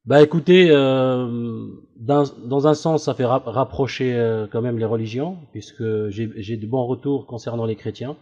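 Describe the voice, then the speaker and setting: A man with a mellow, relaxed voice speaks in a dull lo-fi call recording. He explains things casually but haltingly, feeling his way through each sentence. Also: mid-range voice. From Italy. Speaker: debate room host. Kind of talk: casual phone conversation.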